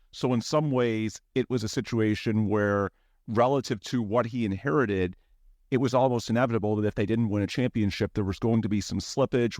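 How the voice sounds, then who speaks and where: Dry-sounding American sports podcast host. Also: male voice, fairly low pitch, a little mellow, no echo. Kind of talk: analytical sports commentary.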